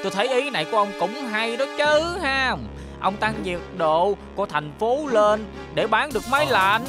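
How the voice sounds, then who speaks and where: A man's voice: sits high, expressive, moderate pace, music in the background. A man with a southern Vietnamese accent playing a dim, eager henchman in a dubbed cartoon, chatty, rambling and ingratiating.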